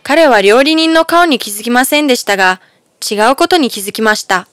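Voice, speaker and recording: High-voiced woman narrating a fairy tale. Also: animated, brisk, fairly bright tone, no room sound, studio quality. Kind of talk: scripted children's storybook narration. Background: music.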